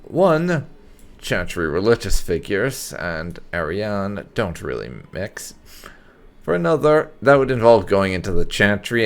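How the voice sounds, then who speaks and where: A man hosting a casual let's play with light roleplay, explaining things in a wordy, measured way. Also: low-pitched, gravelly. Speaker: gaming YouTuber, let's play host.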